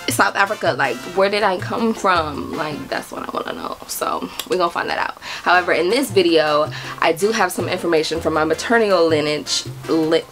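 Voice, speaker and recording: A woman's voice: fairly low pitch, normal speed, music in the background. A young American woman chatting casually to camera in a vlog, bubbly and giggly with lots of fillers. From United States. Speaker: vlogger.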